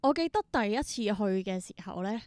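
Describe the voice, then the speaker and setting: A woman's voice: medium pitch, animated, fairly quick, a little mellow, no room sound. Casting: young Hong Kong Cantonese woman, mid-range voice, thoughtful interviewee explaining casually with bits of English, very dry sound. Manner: reflective, explanatory, mixes in English words. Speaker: interview guest, theatre performer and creator.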